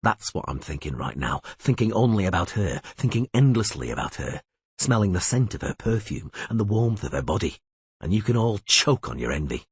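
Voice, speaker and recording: A gruff, wry witcher, as a man reading aloud voices him, pitched even lower than the narration. The tone is husky and deadpan, recorded close and dry. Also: fairly quick, no echo, heavily acted. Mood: brooding. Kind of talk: audiobook reading.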